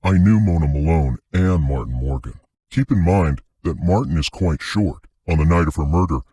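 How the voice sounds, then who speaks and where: A guarded male informant in an audio drama, played by an actor, with his voice disguised by an effect. It is extremely low and husky, delivering a formal statement as someone who wants to stay hidden. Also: a little mellow, no echo, exaggerated voice. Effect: voice-altering disguise, pitched down.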